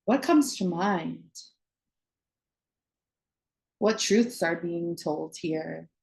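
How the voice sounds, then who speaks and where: Female meditation guide, very slow delivery with gravelly fry, soothing and spacious. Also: lowish voice, a little mellow. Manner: soothing, unhurried phrasing with long pauses.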